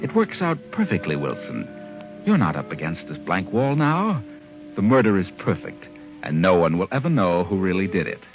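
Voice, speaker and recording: Sinister, low-voiced 1940s radio storyteller. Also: male voice, very animated, moderate pace, narrow, tinny audio. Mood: ominous, gloating. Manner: ominous, knowing, sardonic. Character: unseen sinister all-knowing storyteller who whispers plots to people. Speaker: narrator. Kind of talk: 1940s radio mystery narration. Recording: old 1940s radio recording with music.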